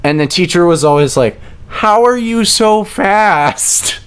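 A man's voice: high voice, lively intonation, takes it slowly, smooth. A young man talking casually as a guest on a podcast. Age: young adult.

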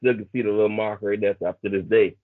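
A man's voice: on the low side, fairly even intonation, fast talker, no room sound, narrow, tinny audio. A man in his thirties with a Bahamian accent, chatting casually and telling stories as a coach guest over a thin call line. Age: 30s. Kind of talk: casual storytelling chat.

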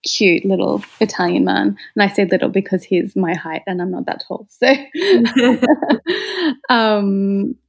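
A woman's voice: mid-range voice, fairly even intonation, unhurried, vocal fry. A woman from Austria who moved to Australia as a child, speaking candidly and reflectively as an interview guest. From born in Austria, moved to Australia as a child. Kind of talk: personal storytelling in a conversational interview.

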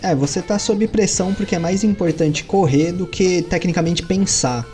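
A Brazilian man narrates a tabletop game as its game master, in a mellow, relaxed voice of middle pitch. He tells the scene with animated jumps in pitch, and faint music plays behind him. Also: moderate pace. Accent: Brazilian Portuguese. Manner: animated storytelling with sudden lifts in pitch.

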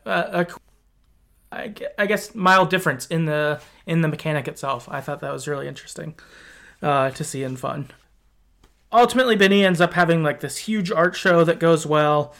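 Male podcast host, higher register, conversational and halting, informal game discussion. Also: vocal fry, a bit bright. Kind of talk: casual video game discussion.

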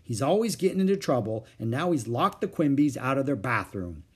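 A man voicing an exasperated older sister who speaks impatiently, in a husky voice. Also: medium pitch, big pitch swings, brisk. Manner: impatient and sharp.

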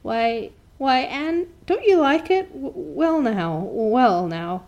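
A woman's voice: high voice, expressive, slow, darkish tone, lo-fi sound, pitched above the performer's own voice, exaggerated voice. A woman voicing a shy, awkward older farmer man who speaks hesitantly, reading a storybook aloud.

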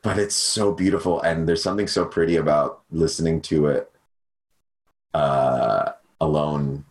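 Young guy, very low voice, loose self-mocking chatter full of ums, on a video call. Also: steady tone, unhurried, a little mellow. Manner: rambling, self-deprecating, many fillers. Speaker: podcast guest, cover singer. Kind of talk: casual chat over video call.

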